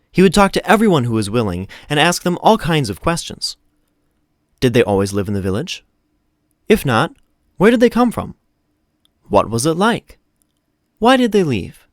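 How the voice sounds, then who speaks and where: Male audiobook narrator, mid-pitched, lively and expressive storytelling, dry close recording. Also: normal speed, long pauses, no room sound.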